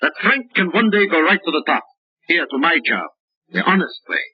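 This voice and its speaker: An actor playing an exacting, earnest older company president in a mid-century radio drama, speaking formally and emphatically. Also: male voice, fairly high pitch, very animated, moderate pace, breathy edge. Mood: earnest, insistent. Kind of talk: 1940s-50s radio drama acting. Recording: dull, muffled old radio broadcast.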